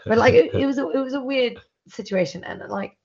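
A man's voice: very high-pitched, fairly quick. A man hosting a podcast, talking casually and rambling with many restarts. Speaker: podcast host. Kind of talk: casual chat. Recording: dull lo-fi audio.

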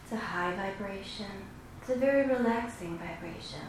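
A woman guiding a meditation in a slow, soothing, instructive way with long pauses. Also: mid-range voice. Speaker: meditation guide. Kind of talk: guided meditation. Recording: very echoey room, clearly noisy background.

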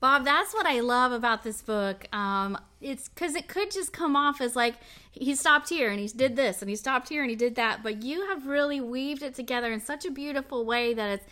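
A woman's voice: high-pitched, moderate pace, bright, forceful tone. A woman hosting a podcast, introducing the show and interviewing as its founder. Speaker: podcast host and network founder.

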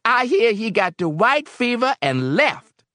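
A man's voice: very high-pitched, sing-song, measured pace, pushed and bright, no echo, clean studio sound, voice pushed up, heavily acted. A man voicing a tall, scornful, suspicious drinker itching for a fight, with an indignant drawl, in an audiobook. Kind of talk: audiobook character acting.

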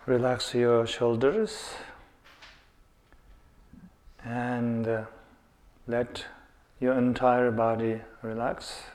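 A man guiding a meditation, giving unhurried instructions in short phrases with pauses between them. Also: mid-range voice, flat delivery, a little mellow. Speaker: meditation guide. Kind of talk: guided meditation, slow instruction with chanting.

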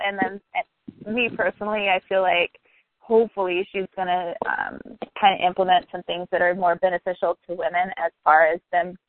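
A woman's voice: medium pitch, no room sound. Blunt woman on a tinny line. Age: 30s. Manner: blunt, opinionated, swears freely. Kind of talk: casual political chat. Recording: tinny phone-like line, dry.

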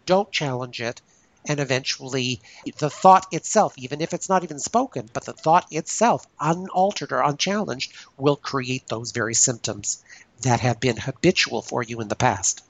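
A man hosting a casual discussion, explaining his ideas in a thoughtful, measured way. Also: medium pitch, gravelly, a bit bright, low-quality recording. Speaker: podcast host.

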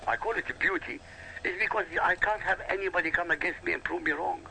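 A man from Iraq whose first language is Arabic, lecturing forcefully and emphatically in a heated radio talk, repeating points for weight. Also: high voice, fast, gravelly, slight background noise, low-quality recording. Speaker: guest commentator.

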